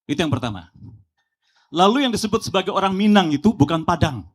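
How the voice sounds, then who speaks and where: A man's voice: sits high, lively intonation, quick pace. A male preacher giving an emphatic speech at a press gathering, listing facts with rising force.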